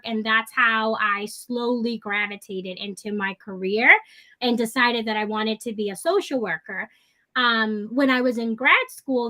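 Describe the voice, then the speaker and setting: Bright, chatty woman guest. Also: fairly high pitch. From raised in the Dominican Republic and New York. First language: Spanish. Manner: chatty and enthusiastic, many fillers and restarts. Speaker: guest, licensed clinical social worker. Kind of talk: casual interview talk.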